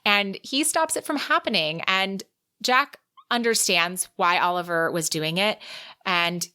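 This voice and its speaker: A woman's voice: medium pitch, measured pace, pushed and bright, clear, resonant tone. A woman co-hosting a book podcast, talking through recaps and announcements in a conversational way. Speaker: podcast co-host.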